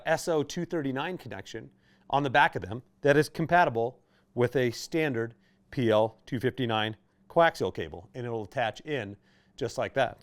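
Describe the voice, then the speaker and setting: A man with an American accent giving an informal, conversational product demonstration as a shop's expert presenter. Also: medium pitch. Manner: conversational, explanatory, checking in with "right?".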